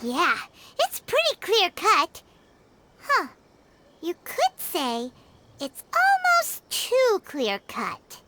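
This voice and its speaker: A woman voicing a tiny, childlike companion character in a video game, squeaky, expressive and cute, with an excitable and curious temper. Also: extremely slow, background noise, heavily acted. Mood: doubtful. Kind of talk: English video game voice acting. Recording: studio voice under loud game music. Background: loud game music.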